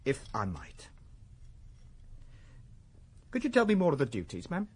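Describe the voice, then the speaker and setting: An actor playing a mild, whimsical middle-aged runaway shopkeeper in a radio drama, with an English accent and a hesitant, playful way with fanciful words. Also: male voice, medium pitch, huge pitch swings, a little slow, a little mellow, slightly rough, exaggerated voice. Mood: eager, droll. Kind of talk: naturalistic radio drama acting.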